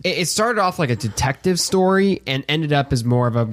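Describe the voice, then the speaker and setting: A man co-hosting a podcast, discussing casually and giving measured, carefully qualified opinions. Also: on the high side, lively intonation. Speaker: podcast co-host.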